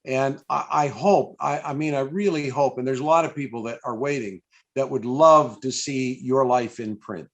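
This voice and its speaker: A man with a mid-pitched voice conducts a live interview over a video call. He speaks effusively, doubling back on phrases and restarting as he goes. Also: moderate pace. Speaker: interviewer and event host. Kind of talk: live interview for an old-time radio fan event.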